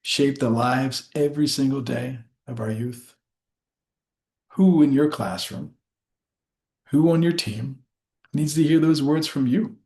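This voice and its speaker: A man giving a rehearsed motivational speech as a storyteller, speaking deliberately in short phrases with dramatic pauses. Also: mid-range voice, vocal fry, a little mellow.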